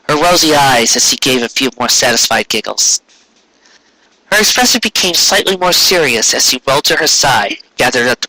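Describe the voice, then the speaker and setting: A man's voice: mid-range voice, pushed and bright, no room sound, rough recording. A man narrating a scripted story as a dramatic fan fiction reading.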